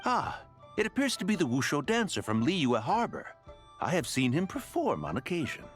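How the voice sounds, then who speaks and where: A man's voice: on the high side, sing-song, moderate pace, faint music behind. A man playing a lofty, mischievous immortal in a fantasy game, speaking in archaic, florid phrases. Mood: concerned. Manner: archaic, florid, lamenting diction. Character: lofty immortal male adeptus, theatrical and archly mischievous. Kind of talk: fantasy video game voice acting.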